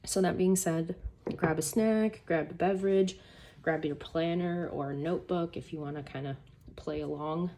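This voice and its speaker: A woman with a hoarse, gravelly voice chatting casually at her desk about her planners, rambling through self-deprecating asides. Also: sits low, fairly even intonation, moderate pace, warm tone. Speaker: planner YouTuber. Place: home desk by a sunny window.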